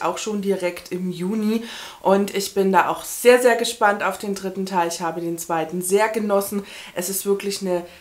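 A woman in her thirties with a medium-pitched voice talks as a book vlogger. Her delivery is chatty and informal, like casual talk straight to the camera. She is recorded indoors in a small room. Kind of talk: casual chat to camera about books.

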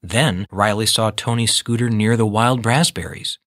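A man reading scripted children's storybook narration in a measured, expressive way. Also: fairly low pitch, no room sound, clean studio sound.

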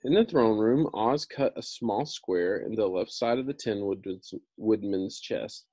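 A man's voice: on the low side, a little slow, mellow, no echo. A man in his thirties reading a children's book aloud, with casual, explanatory asides and some repetition. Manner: casual, explanatory, repeats himself. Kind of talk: read-aloud storytelling with informal explanatory asides.

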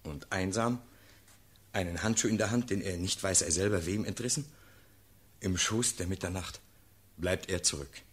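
An actor playing an earnest, steadfast officer addressing his sovereign in measured, declamatory verse in a classical radio play. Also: low-pitched, husky. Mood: earnest narrating.

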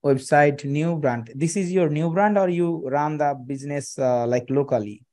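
Bangladeshi man pitching over a headset. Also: darkish tone. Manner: halting, many fillers and restarts. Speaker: freelance digital marketer pitching to a client. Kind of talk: video call sales pitch. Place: small room indoors. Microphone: headset on a video call.

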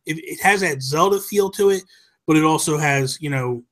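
Male podcast co-host, middle-aged, slightly high voice, colloquial and animated explainer, casual gaming chat. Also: normal speed. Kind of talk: casual chat about video games. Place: small room at home. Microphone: desk microphone on a video call, headphones.

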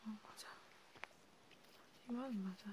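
A woman whispering softly in an ASMR role play as a vampire healer tending a wounded vampire. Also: fairly high pitch, measured pace. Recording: clearly noisy home recording.

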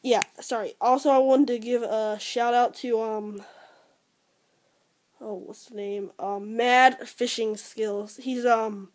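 A teenage boy with an American accent talking casually and a little haltingly as he shows things to a handheld camera at home. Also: extremely high pitch, steady tone, no room sound. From Georgia, United States. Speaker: fishing vlogger. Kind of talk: casual unscripted product showcase. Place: room at home. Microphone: handheld camera at arm's length.

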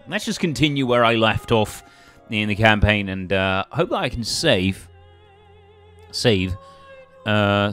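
A man's voice: on the low side, fairly bright tone. A man hosting a let's play with casual, hesitant commentary, thinking aloud as he goes.

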